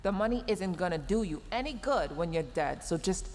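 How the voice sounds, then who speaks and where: A woman's voice: lowish voice, lively intonation, moderate pace, a little mellow, lightly acted. Woman acting a huffy tsundere mech engineer, cavernous reverb. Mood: stern, worried. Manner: huffy, flustered, stammering, emotional delivery. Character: tsundere young woman mech engineer, scolding but secretly caring. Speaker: voice actor. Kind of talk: sci-fi audio roleplay, addressed to the listener. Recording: long reverb, clear background noise.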